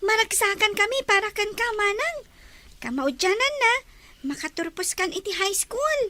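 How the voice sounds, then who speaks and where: A woman's voice: very high-pitched, lively intonation, normal speed, pushed and bright, heavily acted. A woman voicing an eager, helpful little sister in an Ilocano radio drama, with a small, childlike voice. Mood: happy, reassuring. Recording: noisy track with clicks.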